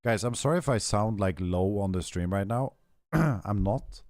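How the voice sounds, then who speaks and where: Young guy streamer, hoarse scratchy throat, deep voice, dry close sound. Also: animated, measured pace, darkish tone, no room sound. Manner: rambling, frequent throat clearing. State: hoarse, losing his voice. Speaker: game streamer. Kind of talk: casual stream chat, answering chat.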